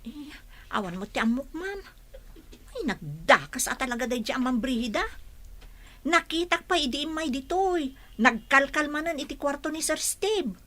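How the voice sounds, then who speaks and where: A woman's voice: high voice, animated, moderate pace, pushed and bright. A woman playing a deferential, gossipy housemaid in an Ilocano radio comedy drama, with an Ilocano accent.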